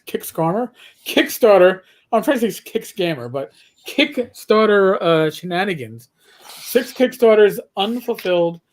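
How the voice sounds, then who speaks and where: A middle-aged man chatting casually as a livestream host, telling stories in a rambling way. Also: sits high.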